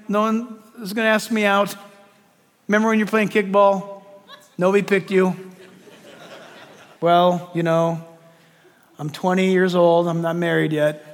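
A middle-aged man preaching a sermon, reading scripture with emphasis and dropping into hushed asides. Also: sits high. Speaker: pastor. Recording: long reverb of a large hall.

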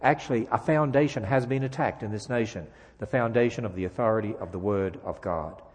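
A man's voice: fairly low pitch, brisk, darkish tone, in a large hall. A man from Australia with an Australian accent, a guest speaker giving a sermon with jokes and emphatic rhetorical questions in a church. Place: church sanctuary.

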